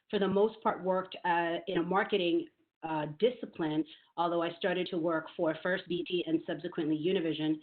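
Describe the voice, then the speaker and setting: Woman, lowish voice, middle-aged, measured with ums, thin call audio. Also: phone-quality sound. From New York City. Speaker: marketing executive guest. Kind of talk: virtual interview, career talk. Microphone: video call.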